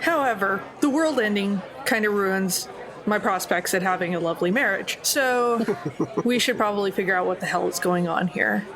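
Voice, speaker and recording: A woman's voice: mid-range voice, moderate pace, rough recording. Female tabletop player, mid-range voice, wry and casual improvised delivery, slight group chatter behind. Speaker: player in tabletop roleplaying game. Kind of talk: casual improvised roleplay chat.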